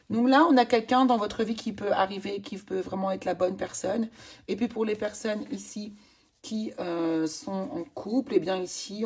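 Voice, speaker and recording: Hoarse enthusiastic woman reading tarot. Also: medium pitch. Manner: chatty, enthusiastic, many fillers and exclamations. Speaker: tarot reader. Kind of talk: casual tarot card reading to viewers.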